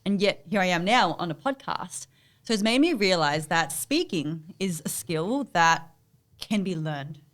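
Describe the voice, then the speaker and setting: A woman's voice: lowish voice, animated, measured pace. Emphatic woman coach. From Australia. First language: Vietnamese. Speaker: guest, business coach. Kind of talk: motivational interview answers.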